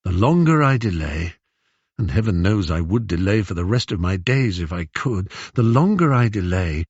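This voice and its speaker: A man's voice: mid-range voice, breathy edge, no echo, highs cut off, pitched above the performer's own voice. A man voicing a gracious, weary queen in a storybook, speaking with formal, regal courtesy. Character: gracious, weary, dignified queen, a grown woman.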